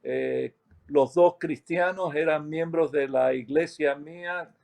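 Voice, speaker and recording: A middle-aged man from Cuba with a Cuban accent, calmly recounting a ministry's history, pausing often and correcting himself as he goes. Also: on the high side, gravelly. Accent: Cuban Spanish. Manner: unhurried, with many hesitations and self-corrections. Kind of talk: slow, reflective testimony.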